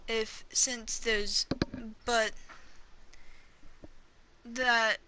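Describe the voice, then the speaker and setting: A woman's voice: a little high, flat delivery, very slow, noisy background. A woman giving casual gameplay commentary, thinking aloud in a hesitant, rambling way and trailing off mid-thought. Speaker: gamer reacting and theorizing during a playthrough.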